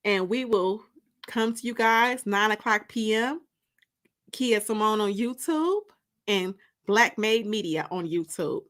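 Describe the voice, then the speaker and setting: A woman's voice: mid-range voice, expressive, slow, a bit bright. A woman hosting a casual livestream, chatting informally and gossipily with viewers and repeating phrases for emphasis. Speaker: host of a live-streamed story time.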